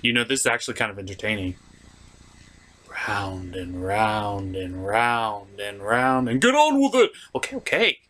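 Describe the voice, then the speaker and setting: A performer voicing a theatrical gentleman puppet host, reviewing a cartoon from a script with dramatic pauses and mock outrage. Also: medium pitch, sing-song, pitched below the performer's own voice.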